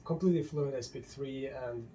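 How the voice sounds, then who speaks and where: Soft-spoken Russian-accented young man. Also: medium pitch, moderate pace, slight background noise. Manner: hesitant, searching for words, many uhs. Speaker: guest, model. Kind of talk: casual interview chat. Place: his home.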